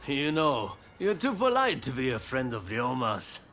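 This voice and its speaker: An actor playing a confident, courteous young samurai in a video game cutscene, wry and sporting. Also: male voice, medium pitch, big pitch swings, unhurried, a bit bright, faint music behind. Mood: confident, challenging.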